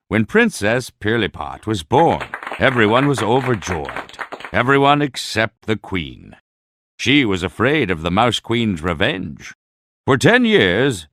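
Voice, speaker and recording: A man narrating a children's bedtime storybook in a deliberate, expressive way. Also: mid-range voice, no room sound, clean studio sound.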